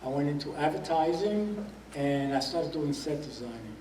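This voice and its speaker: A man's voice: on the high side, animated, moderate pace, warm tone. An older man from Puerto Rico introducing himself at a club meeting, hesitant and full of fillers. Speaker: new member introducing himself. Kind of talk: casual self-introduction. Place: meeting hall. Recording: echoey hall, distant noisy mic.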